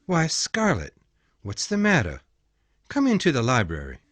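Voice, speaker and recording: A soft-spoken young gentleman from a storybook, gentle but pained and torn, as a man acts him. His voice is restrained yet lively, moving up and down a lot. The recording is dry and close. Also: mid-range voice, moderate pace, no room sound. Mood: friendly. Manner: soft, restrained, turning harsh when refusing.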